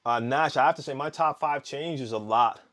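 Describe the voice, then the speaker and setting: A man hosts a laid-back live stream and answers viewers' questions. He talks in an easy, rambling way with plenty of "um"s and false starts. His voice sits at an ordinary middle pitch for a man. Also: normal speed. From Netherlands. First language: Dutch. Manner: relaxed, chatty, reading viewer comments aloud with frequent fillers and restarts. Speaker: DJ hosting a live Q&A.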